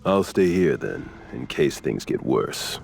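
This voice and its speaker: A man's voice: rumbling low, very animated, slow pace, creaky, darkish tone, faint music behind, exaggerated voice. An actor voicing a blunt, wary male ally in a video game.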